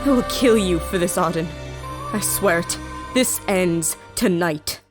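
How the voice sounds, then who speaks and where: A woman's voice: lowish voice, measured pace, close to an everyday voice. A woman playing a determined young warrior princess in an audio drama, terse and commanding. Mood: grim, vengeful. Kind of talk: fan audio drama acting. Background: music.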